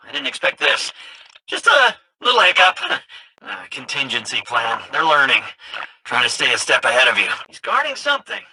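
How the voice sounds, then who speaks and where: A man playing an excitable, cheeky game sidekick and guide who talks casually and stammers when flustered. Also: mid-range voice, normal speed, husky, dry recording, full character voice, poor audio. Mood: wry, unsure. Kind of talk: video game character dialogue.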